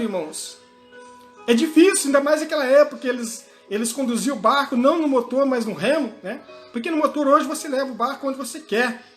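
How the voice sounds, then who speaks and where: Male pastor, very high voice, preaching in church to faint background music; conversational, questioning delivery. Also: a bit bright. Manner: peppers talk with "né?" and "amém?", asks and answers. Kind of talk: sermon.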